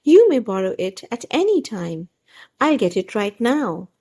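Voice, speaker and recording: A woman's voice: a little high, very animated, unhurried, dark tone, slightly rough, heavily acted. A woman voicing an obliging but greedy neighbour in a children's storybook, speaking emphatically and expressively. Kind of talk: children's storybook character reading.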